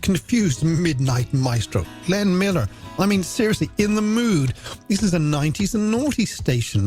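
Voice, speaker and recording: A man's voice: on the high side, darkish tone. An Irish man presenting a late-night radio music show in a playful, theatrical, scripted way with flowery phrasing.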